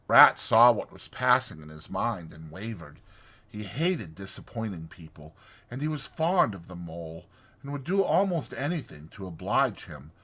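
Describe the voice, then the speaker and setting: Animated husky male audiobook narrator. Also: mid-range voice. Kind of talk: dramatized scripted narration.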